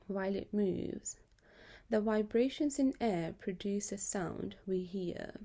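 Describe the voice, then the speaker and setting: Female educational narrator, explanatory and measured, mellow timbre with noticeable vocal fry. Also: medium pitch. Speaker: physics tutor presenting. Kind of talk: scripted narration of an exam lesson.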